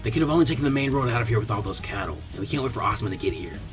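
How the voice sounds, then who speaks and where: A man voicing a laconic, weary, clever teenage boy ninja with a flat, drawling delivery, in a story reading. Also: fairly low pitch, racing, over music, pitched below the performer's own voice, lightly acted. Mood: resigned.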